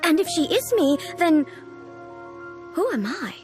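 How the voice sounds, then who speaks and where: An actress playing a solemn, ageless ruler in a video game, speaking in a formal, measured, pondering way. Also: female voice, very high voice, very animated, full character voice. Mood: puzzled. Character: solemn, regal, ageless female ruler, earnest and contemplative. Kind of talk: video game character acting. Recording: soft music behind.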